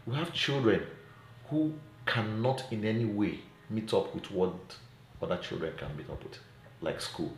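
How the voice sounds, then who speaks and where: A middle-aged man with a Nigerian accent speaks earnestly to camera as an advocate, deliberate and emphatic, posing rhetorical questions. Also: fairly low pitch, vocal fry. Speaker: child welfare advocate and presenter. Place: small indoor room at home. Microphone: phone at arm's length. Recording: roomy echo, faint background noise.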